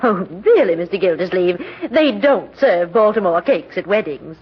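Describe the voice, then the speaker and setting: A woman's voice: lowish voice, lively intonation, normal speed, natural voice. An actress playing a genteel, easily flustered lady in 1940s radio comedy, hesitant and repeating her words. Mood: polite, surprised. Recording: dull old radio recording, audience noise.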